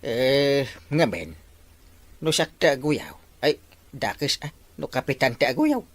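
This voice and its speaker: A woman with an Ilocano accent playing an animated, hesitant village captain in a broad comedy drama, on a noisy recording. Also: extremely low pitch, takes it slowly, no echo, exaggerated voice. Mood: indignant. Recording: clearly noisy recording with clicks.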